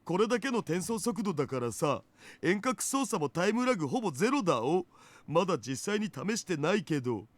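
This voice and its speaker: High-voiced geeky young hacker guy. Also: big pitch swings, very fast. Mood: chatty, smug. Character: geeky young male hacker, chatty and smug. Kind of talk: Japanese visual novel voice acting.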